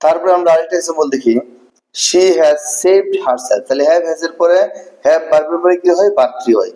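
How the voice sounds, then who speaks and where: A man with a slightly high voice gives an instructional grammar lesson. He explains things step by step, repeating key terms and spelling words out as a teacher would. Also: moderate pace, warm tone, low-quality recording. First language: Bengali.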